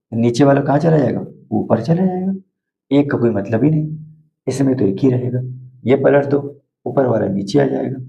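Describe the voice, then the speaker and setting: A hoarse man teaching math to school students at a board, repeating steps and asking questions that he answers himself. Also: medium pitch, dark tone, lo-fi sound, slight background noise. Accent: Hindi.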